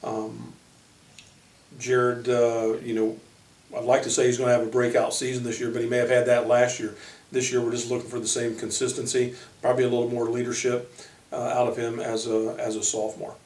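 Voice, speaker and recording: A man who coaches college basketball, giving informal player profiles in a halting way, pausing mid-sentence. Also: mid-range voice, completely flat delivery, darkish tone. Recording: roomy echo, distant microphone.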